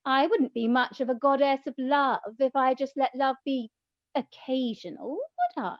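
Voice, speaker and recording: A woman playing a teasing, theatrical goddess of love in a comic radio play, with mocking, drawn-out emphasis. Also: high-pitched, dry recording, dull audio. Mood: breezy, knowing.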